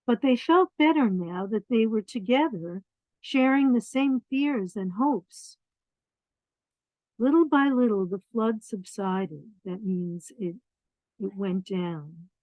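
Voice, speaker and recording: A woman reading picture books aloud to young children, expressive, pausing to explain things in simple words. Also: fairly high pitch. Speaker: children's storyteller. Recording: dull, roomy lo-fi sound.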